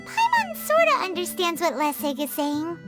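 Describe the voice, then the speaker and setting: A woman voicing a tiny, excitable fairy companion who talks about herself in the third person, with a squeaky, chirpy voice. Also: normal speed, full character voice. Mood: musing. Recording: game cutscene with loud music.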